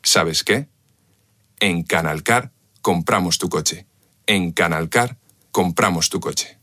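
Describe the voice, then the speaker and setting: Male, very low pitch, gravelly, husky, repetitive slogan delivery, radio advert. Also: slow, bright, forceful tone. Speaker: jingle-style advert voice.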